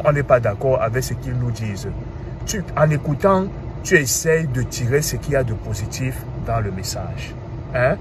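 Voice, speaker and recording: A man in his thirties with a Cameroonian French accent, talking casually and animatedly, asking rhetorical questions. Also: mid-range voice. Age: 30s. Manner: animated, asks rhetorical questions, repeats "hein". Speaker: commentator. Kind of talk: casual monologue. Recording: clear street and traffic noise.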